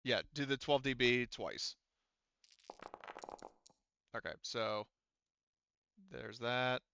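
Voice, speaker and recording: A man's voice: mid-range voice, drawn-out words, vocal fry, bright, forceful tone, clear, resonant tone. A man running a tabletop roleplaying game as game master, talking through dice rules in a casual, profane way over slightly dull audio.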